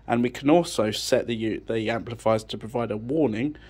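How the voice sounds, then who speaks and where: A man's voice: medium pitch, brisk, darkish tone, breathy edge. A man giving an informal technical explanation in a matter-of-fact, unscripted way, thinking as he goes. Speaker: audio technician presenting a tech channel. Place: indoors, beside an amplifier rack.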